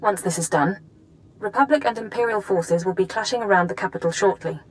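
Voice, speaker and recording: A focused female intelligence controller in a sci-fi game, voiced by a woman. She speaks at a medium pitch, laying out details precisely and evenly, with an easy familiarity. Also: brisk, natural voice, rough recording. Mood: focused, brisk. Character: businesslike female intelligence handler briefing an agent. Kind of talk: video game drama acting.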